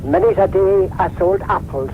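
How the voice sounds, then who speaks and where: A man's voice: high voice, normal speed. A man with a Donegal Irish accent phoning in to a radio show and telling a story, acting out the voices he quotes. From Ireland. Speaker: radio caller. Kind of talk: phone-in anecdote. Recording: tinny phone line with loud noise.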